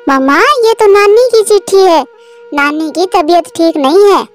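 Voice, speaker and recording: A woman's voice: very high voice, animated, a little slow, faint music behind. A woman voicing an eager, inquisitive little daughter bird in a children's cartoon. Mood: concerned. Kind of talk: Hindi children's cartoon voice acting.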